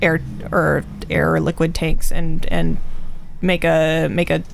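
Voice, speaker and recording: A woman's voice: sits low, little pitch movement, slow pace, creaky, slight background noise. A woman in casual group chat, giggly and sweary, with slangy phrasing. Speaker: podcast co-host. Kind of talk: casual group chat about motorcycle projects.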